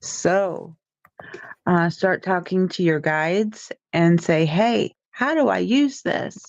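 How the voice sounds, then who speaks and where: A woman reads a tarot card aloud for a livestream, taking her time and circling back with ums and restarts. Her voice sits a little low and comes through dull and lo-fi, close and dry with no room around it. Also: slow, no echo. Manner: meandering, with many ums and restarts. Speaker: tarot reader and co-host. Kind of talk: casual livestream card reading. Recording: dull lo-fi stream audio.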